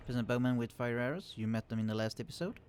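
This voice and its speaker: A man casually commenting on a strategy game he is playing, explaining units with many fillers and restarts, his voice kept quiet and turned down in the recording. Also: medium pitch, quick pace, faint music behind. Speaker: let's play host. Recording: quiet voice, turned down to hide computer hum.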